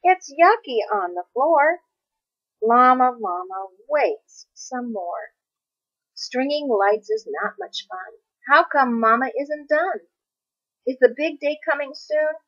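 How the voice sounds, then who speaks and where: Female storybook reader, higher register, unhurried singsong delivery of children's verse, slightly dull lo-fi recording. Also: expressive, fairly bright tone. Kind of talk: expressive children's read-aloud of rhyming verse.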